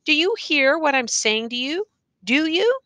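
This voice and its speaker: A woman playing an earnest, exasperated male schoolteacher, bright-voiced. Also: a little high, sing-song, unhurried, no echo. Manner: pleading, insistent, educated diction.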